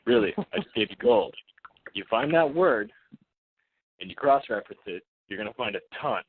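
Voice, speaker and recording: A man's voice: fairly low pitch, expressive, moderate pace, a little hiss or hum. A man phoning in as a caller, talking casually and insistently, with slang and repeated points, over a telephone line. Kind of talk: casual unscripted monologue. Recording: muffled phone conference line.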